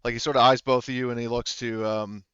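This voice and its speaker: A man narrating a tabletop game as game master in a casual, improvised way, rambling and halting. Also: medium pitch, level tone, a bit bright, smooth, no echo, highs cut off.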